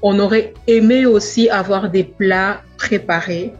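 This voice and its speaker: A young woman from the Democratic Republic of the Congo with a Congolese accent, giving a measured personal testimonial with some halting repeats. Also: medium pitch, fairly even intonation. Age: young adult. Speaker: entrepreneur, grocery store owner. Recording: dull lo-fi sound, faint music.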